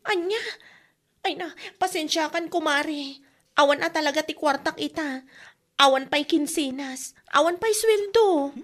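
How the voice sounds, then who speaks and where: A woman's voice: high-pitched, measured pace, a bit bright. A woman playing a put-upon wife in debt, defensive and complaining, in a comedy radio drama. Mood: defensive, pleading.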